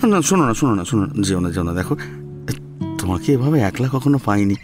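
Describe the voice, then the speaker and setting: A man's voice: lowish voice, big pitch swings, quick pace, music in the background. A man playing an eager, lovestruck young college student, coaxing and flustered, in an audio story. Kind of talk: melodramatic audio story acting.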